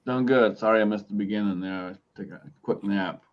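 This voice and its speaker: A man in his late thirties chats casually in a low voice. He is laid-back and dry, and his voice comes through dull, lo-fi call audio. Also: brisk. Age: 30s. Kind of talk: casual chat.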